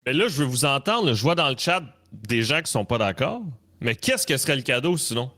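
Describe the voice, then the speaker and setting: A man's voice: medium pitch, sing-song, fairly quick, sharp tone. A man with a Canadian French accent co-hosting a casual live wrestling talk stream, often repeating words as he builds his point. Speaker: wrestling talk show co-host.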